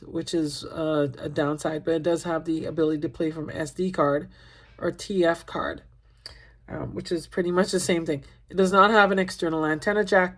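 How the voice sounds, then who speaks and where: A woman with a somewhat low voice talks through a radio review at home. She is relaxed and chatty, with plenty of ums as she goes over the pros and cons. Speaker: ham radio channel host reviewing a radio. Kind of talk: casual product demonstration. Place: at home by a window.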